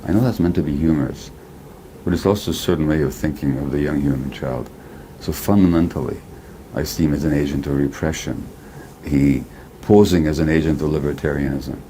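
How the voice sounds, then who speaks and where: Very deep, dark-voiced older man. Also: a little hiss or hum, poor audio. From Hungary. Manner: measured, critical, deliberate. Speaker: therapist, interviewee. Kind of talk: interview clip.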